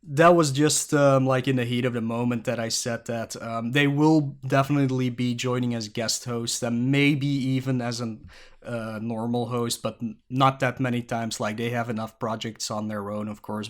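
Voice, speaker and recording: A man from Belgium speaks English with a Flemish accent, his first language being Dutch. His voice sits in an ordinary middle pitch as he rambles through a casual solo podcast. He jokes and pokes fun at himself as he goes. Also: steady tone, normal speed. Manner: rambling, self-mocking and jokey. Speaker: podcast host. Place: at a creaky desk at home.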